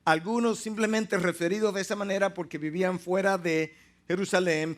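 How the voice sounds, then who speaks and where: A male preacher delivers a teaching sermon in a notably high voice. He speaks emphatically and slows down to lean on the words that matter. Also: a bit bright.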